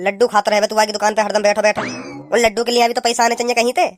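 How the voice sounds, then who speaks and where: Man doing a fast, high-voiced nagging cartoon father. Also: little pitch movement, soft background music. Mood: sarcastic, exasperated. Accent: western Uttar Pradesh. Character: nagging village father, blunt and exasperated. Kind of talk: comic cartoon dialogue in rustic dialect.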